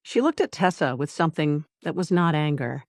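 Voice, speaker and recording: Dry, measured dramatic audiobook narrator. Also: mid-range voice, very animated, no room sound. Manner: measured, deliberate, dramatic short phrases with pauses. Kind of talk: scripted dramatic story narration.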